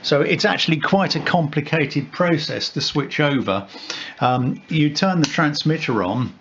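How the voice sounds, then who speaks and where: A man giving an informal, unscripted equipment demonstration as a radio hobbyist, explaining haltingly and correcting himself as he goes. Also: a little high, animated. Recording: dull sound with loud background noise.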